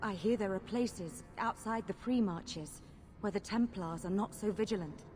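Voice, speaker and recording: A woman's voice: medium pitch, moderate pace, a little mellow, big-room sound, faint music behind, natural voice. An actress playing a proud, impassioned rebel mage woman in a fantasy video game, earnest and persuasive. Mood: urgent pleading. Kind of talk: dramatic video game acting.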